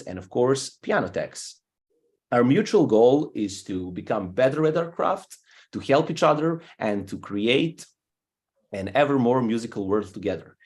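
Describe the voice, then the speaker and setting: Serbian man hosting an online show. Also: medium pitch. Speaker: guest host. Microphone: video call.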